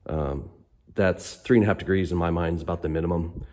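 Hoarse low-voiced man in a garage. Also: steady tone, a little mellow. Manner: unhurried, hesitant, many ums. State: hoarse from a cold. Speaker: off-road fabricator. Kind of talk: casual technical walkthrough. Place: indoor garage workshop. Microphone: handheld phone camera.

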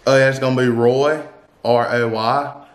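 A man's voice: mid-range voice, steady tone, normal speed, reverberant. A man making a casual prank call, rambling and stammering in a brash, profane way. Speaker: prank caller.

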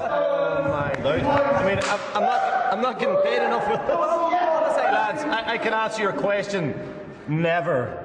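Blunt high-voiced male audition judge, echoey hall. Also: big pitch swings, normal speed. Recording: echoey hall, loud crowd noise.

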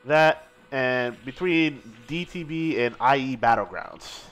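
Excitable man commentating a stream. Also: mid-range voice, takes it slowly, soft background music. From Seattle. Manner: excitable, laughs often, reads chat aloud. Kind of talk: casual live fighting-game commentary.

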